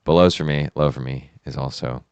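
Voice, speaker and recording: A man's voice: extremely low pitch, fairly even intonation, fairly quick, darkish tone, clean tone, no echo. A young man co-hosting a casual chat podcast, easygoing and guiding the talk, a little under the weather. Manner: easygoing, chuckling, steers the segments. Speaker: podcast co-host. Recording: dull lo-fi sound.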